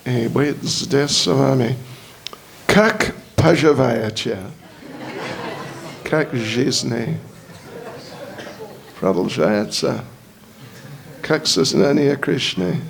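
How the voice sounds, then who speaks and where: A man with an English accent, a native English speaker, gives a lecture in slow, halting Russian, often searching for words. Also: medium pitch, creaky. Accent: English-speaking, speaking Russian as a learner. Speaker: visiting spiritual teacher giving a lecture. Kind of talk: slow lecture with verse chanting. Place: lecture hall.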